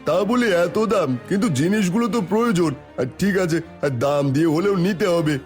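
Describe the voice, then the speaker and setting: A man voicing a worried village customer who complains plaintively, in an animated moral tale. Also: high-pitched, a little fast, soft background music, natural voice. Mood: dismayed.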